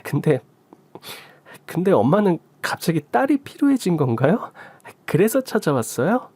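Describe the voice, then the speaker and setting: A man's voice: fairly high pitch, big pitch swings, moderate pace, a little mellow, dry recording, voice pushed up. Man reading as hurt grown daughter, bitter reproachful questions, dry sound. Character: hurt adult daughter, woman around forty.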